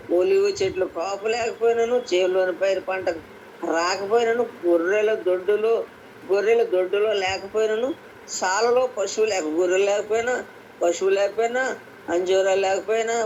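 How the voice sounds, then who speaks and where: A woman's voice: medium pitch, darkish tone. A woman gives an informal devotional sermon over a conference call, explaining scripture and repeating phrases for emphasis. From Andhra Pradesh, India. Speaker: lay preacher at a prayer meeting. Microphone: phone on a conference call. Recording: noisy conference call audio.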